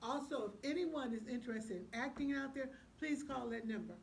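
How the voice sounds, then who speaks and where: A woman's voice: high voice, brisk, vocal fry. A woman speaking casually as an interview guest, promoting a production as one of its organizers. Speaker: stage play producer, guest. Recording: faintly noisy room recording.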